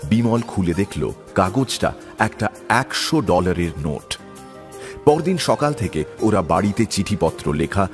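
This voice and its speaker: A man narrating a scripted audio story. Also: deep voice.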